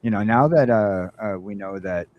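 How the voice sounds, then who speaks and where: A middle-aged man hosting a casual geek chat, rambling and haltingly repeating his words as he goes. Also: lowish voice, highs cut off, slight background noise. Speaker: podcast host.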